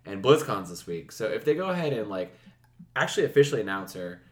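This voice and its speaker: A man's voice: on the low side, sing-song, fast. A man co-hosting a gaming podcast, chatting casually with slang and swearing, recorded with a roomy, echoing sound. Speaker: video game podcast co-host. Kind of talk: casual chat with profanity.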